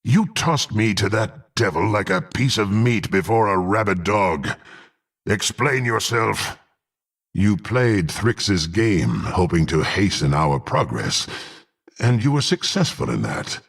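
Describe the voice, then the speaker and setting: An actor playing a brutal, power-hungry half-orc warrior in a fantasy game, gruff and haughty, with archaic formal phrasing. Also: male voice, lowish voice, big pitch swings, slow, exaggerated voice. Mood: brooding. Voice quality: gruff, gravelly. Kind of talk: dramatic fantasy game voice acting.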